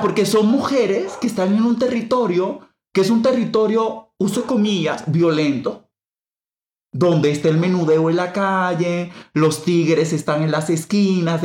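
A woman from the Dominican Republic with a Dominican accent, hosting a reflective discussion. She thinks out loud, restarts often and leans hard on key words. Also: lowish voice, a little slow, echoey room. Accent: Dominican Spanish. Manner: thinks aloud with frequent restarts and emphatic stress. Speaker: podcast host.

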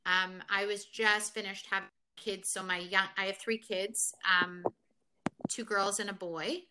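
A woman's voice: medium pitch, little pitch movement, measured pace, noisy background. A woman from Kenya, a guest in a conversational interview, telling her story reflectively with many fillers.

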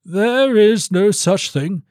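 A man's voice: high-pitched, animated, slow pace, a little mellow, no echo, studio quality, voice pushed up. A man voicing a haughty, scornful baron with a dismissive manner, as in a storybook reading. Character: haughty, scornful male baron, master of artillery. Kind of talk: audiobook character voice.

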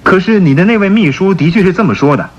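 An actor playing a stern, suspicious and stubborn police chief in a radio drama, questioning insistently in a husky voice. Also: male voice, sits high, animated, quick pace, highs cut off. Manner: probing, insistent, theatrical questioning.

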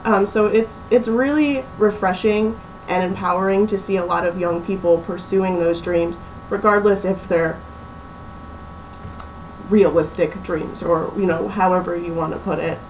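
A young woman from Pennsylvania, chatting casually as a small business owner in an interview, relaxed and full of ums. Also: lowish voice, unhurried, distant mic, slight background noise, poor audio. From Pennsylvania, USA. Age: young adult. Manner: relaxed, chatty, laughs easily, many ums.

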